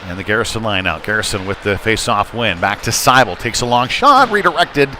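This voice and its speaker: A man doing live play-by-play ice hockey commentary in short, halting, conversational phrases. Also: on the low side, sing-song, sharp tone. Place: indoor ice rink. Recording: noisy live arena stream, rink ambience. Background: clear rink noise and ambience.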